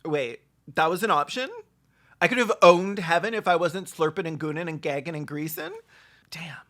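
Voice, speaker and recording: A commentator giving sarcastic comedic reactions, campy and theatrical, with deadpan asides and mock acting. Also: medium pitch, normal speed. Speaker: video commentator.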